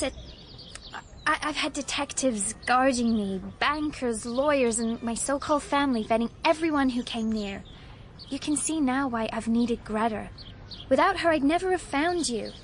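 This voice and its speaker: A high, youthful woman's voice, a devoted young heiress in a radio drama. She is sweet and earnest, eager to be believed. Faint ambience sits behind her. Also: normal speed, a little hiss or hum. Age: young adult. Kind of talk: radio play acting.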